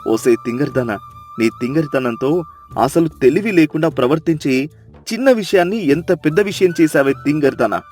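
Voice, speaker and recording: Village husband for a story cartoon, played by a man: fairly high voice, impatient, scolding, bossy, faint music. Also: lively intonation, moderate pace, darkish tone. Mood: angry, reproachful.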